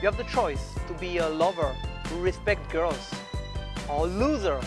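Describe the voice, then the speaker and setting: A man's voice: fairly high pitch, expressive, moderate pace, music in the background. A man from France delivering a scripted campaign message in plain, earnest statements. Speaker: campaign video speaker.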